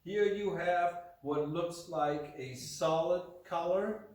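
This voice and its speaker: A man speaks from well back in a very reverberant room. His voice sits a little high, and he presents in an unscripted, deliberate manner, pausing and repeating himself as he goes. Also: darkish tone. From Germany. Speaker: video host and Persian rug specialist. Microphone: camera mic from far away. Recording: very echoey room, distant microphone.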